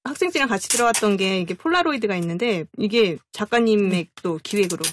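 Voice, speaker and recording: A woman's voice: mid-range voice, animated, fast, a little hiss or hum. A middle-aged woman hosting a talk show in casual chat.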